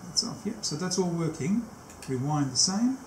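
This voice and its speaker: A man in his sixties giving an unscripted hands-on demonstration, explaining step by step and pausing as he works, picked up by a camera mic in a small workshop. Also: a little high, sing-song. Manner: step-by-step explaining, often pausing mid-task. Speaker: technician demonstrating a tape machine setup. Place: small cluttered indoor workshop. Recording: echoey room, faint background noise.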